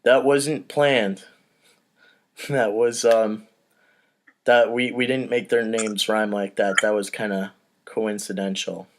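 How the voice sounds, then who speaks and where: A man's voice: on the low side, steady tone, slow pace, pauses often, slight background noise. Casual man narrating a comedy script. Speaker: podcast host, table-read narrator.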